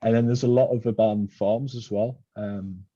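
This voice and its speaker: A man with a Geordie accent hosts a casual podcast chat, drifting through long local stories with plenty of ums and uhs. His voice is warm and mellow. The sound is close and dry, with a slightly dull, lo-fi edge. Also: lowish voice, steady tone, moderate pace, dry recording. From Newcastle, England. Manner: rambling storyteller with many fillers. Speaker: podcast host.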